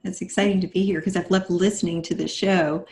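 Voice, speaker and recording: A woman's voice: lowish voice, steady tone, fairly quick, darkish tone. A woman talking conversationally as a guest co-host on a podcast. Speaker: guest co-host, author and life coach.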